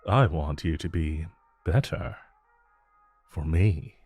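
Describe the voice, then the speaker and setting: A man playing a suave, flirtatious supervillain in a romantic audio roleplay, talking in a smooth, teasing, unhurried way with drawn-out pauses. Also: extremely low pitch, a little mellow, no room sound, faint music behind, exaggerated voice. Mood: measured, concerned. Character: suave, flirtatious male supervillain visiting his tailor. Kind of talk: romantic audio roleplay acting addressed to the listener.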